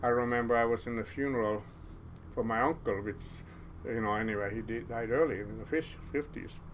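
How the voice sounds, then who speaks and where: A man's voice: mid-range voice, lo-fi sound, slight background noise. Older Norwegian man recalling his past. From Norway. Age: 70s. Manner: halting, with many restarts and pauses. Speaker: guest. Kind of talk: casual storytelling interview.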